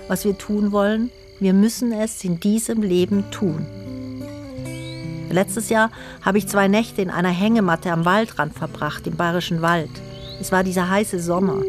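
A woman reads her own reflective radio feature script, calm and personal, often posing questions. Clear music plays underneath her voice throughout. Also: on the low side, normal speed. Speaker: radio feature author and narrator. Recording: studio narration over music.

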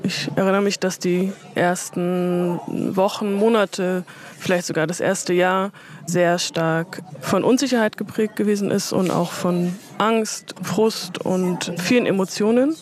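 A woman from Germany, an interview guest who works as an educator, giving reflective, measured answers. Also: lowish voice, steady tone, a little mellow.

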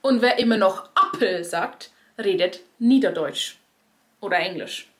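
A young adult woman from Bavaria with a Bavarian accent, a stage performer giving ironic, lively radio commentary. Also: medium pitch, a little slow.

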